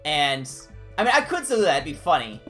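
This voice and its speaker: A man with a higher-pitched voice, chatting casually and discursively as a game streamer. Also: brisk, faint music behind. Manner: animated, discursive, jumping between tangents. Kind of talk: casual rambling livestream chat during gameplay.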